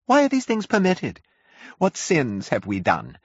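A man doing a shrill, frantic curate. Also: huge pitch swings, a little slow, slightly rough, no room sound, lo-fi sound, heavily acted. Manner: shrill, wailing, preachy. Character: panicked male curate, frantic, half-mad with fear.

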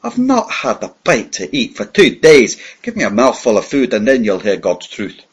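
A man voicing a surly, down-on-his-luck working man with a rough, whining voice, on a dull lo-fi recording. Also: mid-range voice, huge pitch swings, normal speed, full character voice. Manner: loud and indignant.

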